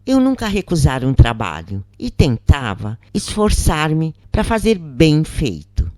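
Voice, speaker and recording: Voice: medium pitch, huge pitch swings, unhurried, warm tone, no echo, clean studio sound. A narrator reading a scripted first-person story aloud.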